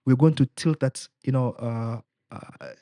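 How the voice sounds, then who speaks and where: A man's voice: mid-range voice, a little slow, warm tone, dry recording. Young Kenyan male guest, earnest campaign tone, dry close sound. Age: young adult. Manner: rallying, earnest, mixes Swahili phrases. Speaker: political aspirant guest. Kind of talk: political interview.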